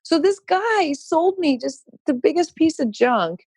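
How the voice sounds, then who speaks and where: A woman, a real estate company founder, telling a story as a podcast guest in an emphatic, animated way, laughing often and adding quick asides. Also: sits high, no echo. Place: podcast interview.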